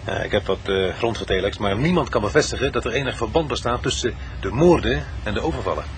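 An actor playing a matter-of-fact police detective in a radio crime drama, sceptical and plain-spoken. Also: male voice, mid-range voice, expressive, fast talker, natural voice. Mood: pondering. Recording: noisy old radio drama recording.

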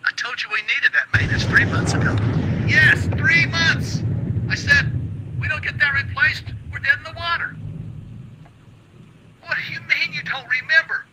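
An actor playing a troubled, high-strung radio host in a game's radio drama, halting when confiding and easily exasperated. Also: male voice, heavy background noise. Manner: halting confessions, exasperated outbursts. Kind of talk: radio drama acting in a game.

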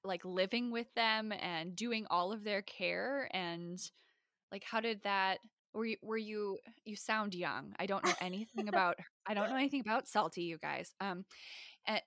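A woman's voice: mid-range voice, normal speed, a bit bright, no room sound, studio quality. A woman from the American Midwest hosting a podcast, talking casually with lots of fillers. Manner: chatty, many "like" fillers. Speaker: podcast host. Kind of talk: casual interview chat.

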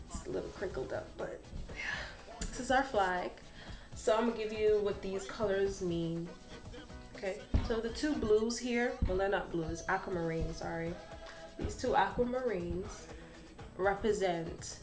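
A young woman talks animatedly to a camera a few feet away, explaining things in a chatty vlog style. Her voice sits at a middle pitch, and the small room adds a noticeable echo. Faint music plays underneath. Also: darkish tone. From Bahamas. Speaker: vlogger.

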